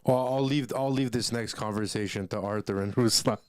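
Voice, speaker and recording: A man's voice: fairly low pitch, fairly quick, no echo, studio quality. A man co-hosting a livestream, joking and teasing in casual chat. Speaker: co-host.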